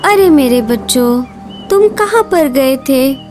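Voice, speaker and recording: A woman's voice: high voice, animated, slow, music in the background. A woman voicing a devoted, anxious mother bird who speaks earnestly in an animal cartoon story. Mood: relieved, caring.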